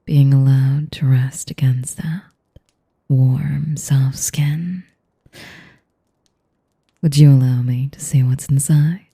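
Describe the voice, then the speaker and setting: A woman performs a sadistic, refined vampire lady for an audio roleplay. Her voice is low and husky, close to a whisper. She takes her time with every phrase, teasing and manipulating under a veneer of courtesy. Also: fairly even intonation, mellow, full character voice. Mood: coolly teasing. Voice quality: breathy, whispery. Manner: slow, teasing, mock-polite, refined. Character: sadistic, elegant, manipulative female vampire noble. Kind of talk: intimate audio roleplay, ASMR-like.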